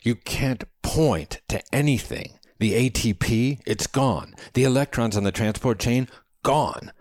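A man's voice: medium pitch, measured pace, a little mellow, no echo, clean studio sound, pitched above the performer's own voice, natural voice. A man voicing a feisty, philosophical old man in an audiobook, lecturing with precise, scholarly diction. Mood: impassioned lecturing. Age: older. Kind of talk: audiobook character dialogue.

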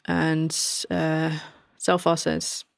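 Low-voiced woman narrating a tabletop game. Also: completely flat delivery, extremely slow, gravelly, dry recording, studio quality. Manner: informal, conversational storytelling. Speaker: game master.

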